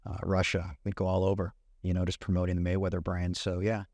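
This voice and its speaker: A man in his thirties, a guest content creator, talking in a relaxed way and often saying "you know" and "man". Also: deep voice, fast talker, no room sound, studio quality. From Puerto Rican family, raised in California. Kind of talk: casual interview chat.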